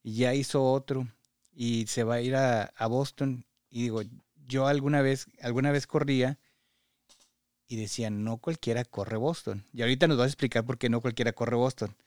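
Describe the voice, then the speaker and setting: A man with a Mexican Spanish accent speaks as a podcast host in a conversational interview style. His voice sits at a medium pitch, and the sound is dry and close. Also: steady tone, moderate pace, darkish tone, no echo.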